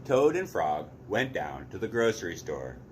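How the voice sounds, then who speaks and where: A man's voice: sits low, very animated, normal speed, slight background noise. A man narrating a story aloud expressively as a read-aloud host.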